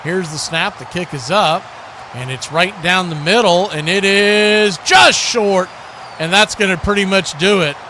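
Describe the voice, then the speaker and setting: A man's voice: sits high, measured pace, background noise. A man hosting a live stream, calling football play by play with casual, chatty asides between plays. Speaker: play-by-play host of a football watch party.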